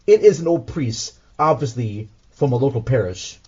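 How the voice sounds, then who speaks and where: Male narrator, scripted scene-setting, audio drama, mid-range pitch, slightly dull recording. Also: very animated, normal speed, dark tone, slightly rough. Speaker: host and narrator.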